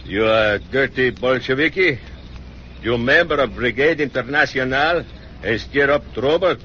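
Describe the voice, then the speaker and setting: Mexican policeman, Mexican Spanish accent, broken English, interrogating, bright forceful voice, dull lo-fi sound. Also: mid-range voice, lively intonation, a little slow, a little hiss or hum. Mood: suspicious. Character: menacing Mexican policeman, suspicious and bullying. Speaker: police official. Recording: dull lo-fi radio drama.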